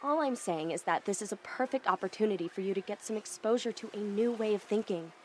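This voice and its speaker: A woman's voice: medium pitch, fast, a little hiss or hum, lightly acted. An actress playing an upbeat, free-spirited young woman with a breezy, persuasive way of talking.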